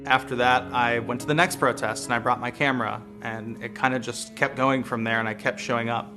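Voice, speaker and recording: A man's voice: medium pitch, steady tone, a little fast. Earnest American man over a music bed. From United States. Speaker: lawyer and street photographer. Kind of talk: documentary interview narration. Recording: music bed playing behind voice.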